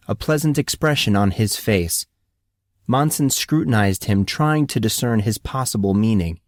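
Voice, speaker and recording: A man's voice: lowish voice, no echo, clean studio sound. A man reading scripted fantasy fiction as an audiobook narrator.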